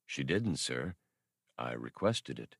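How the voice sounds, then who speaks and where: A man reading an audiobook, voicing an old male labor droid who speaks in a polite, formal, slow drawl. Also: rumbling low, sing-song, creaky, a bit bright, breathy edge, no room sound, clean studio sound, pitched below the performer's own voice, exaggerated voice. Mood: calm. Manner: formal, deferential.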